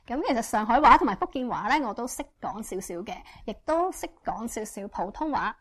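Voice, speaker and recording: Woman, church interpreter, rapid delivery, higher voice, fluent, frequent fillers. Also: expressive, a bit bright, breathy edge. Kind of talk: consecutive interpretation.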